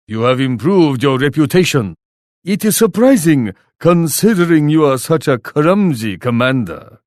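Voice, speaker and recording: An actor playing a proud, menacing shogun warlord in a video game, speaking in a haughty, formal, theatrical way. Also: male voice, mid-range voice, no echo, studio quality. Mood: scornful, proud. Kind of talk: video game character taunts.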